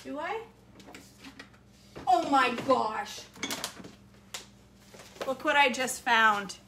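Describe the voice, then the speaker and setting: A woman's voice: sits high, animated, pushed and bright. Rambly woman in her 40s from maine, live stream in her kitchen, phone mic, faint hum behind. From Maine, USA. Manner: chatty, rambling, quick to laugh. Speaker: home cook and livestream host. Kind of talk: casual live-stream chat answering viewer comments. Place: home kitchen, indoors. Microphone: phone camera at arm's length.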